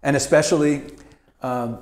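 A man's voice: medium pitch, steady tone, a little mellow. A middle-aged man from Montreal, a rabbi, answering interview questions casually, with many restarts and fillers. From Canada (Montreal). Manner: halting, many restarts and "like", laughs easily. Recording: echoey room.

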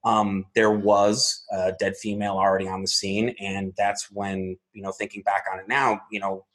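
A man with a husky voice, an interview guest recounting events methodically, step by step, with frequent pauses. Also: on the low side, flat delivery, fairly bright tone, rough recording. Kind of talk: recounting a personal incident in an interview.

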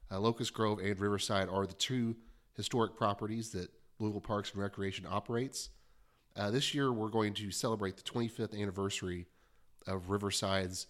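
A man's voice: fairly low pitch, fairly bright tone. A man with a stuffy nose from a cold, hosting an interview in a friendly, chatty, self-deprecating way. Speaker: podcast host.

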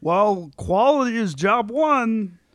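A man reads the part of a petulant sitcom husband who justifies himself. The voice is high for a man and closely recorded, with a dry sound and an amateur table-read delivery. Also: animated, slow pace, a bit bright, no room sound, heavily acted. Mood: petulant, flippant.

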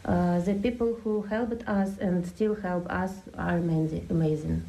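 A woman with a mellow, warm tone speaks earnestly, pausing often with fillers. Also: fairly low pitch, fairly even intonation, creaky, poor audio. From Ukraine. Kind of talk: interview testimony.

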